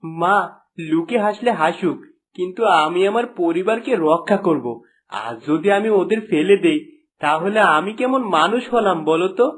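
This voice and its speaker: A man playing an earnest, emphatic young husband and loyal son in an animated folk story. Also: sits high, normal speed. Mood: earnest, defiant.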